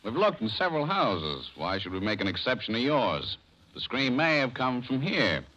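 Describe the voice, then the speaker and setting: An actor playing a brisk, courteous but probing police officer in old radio drama style, plainspoken and official. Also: male voice, mid-range voice, very animated, sharp tone, dull audio. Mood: official, businesslike. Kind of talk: 1940s radio acting.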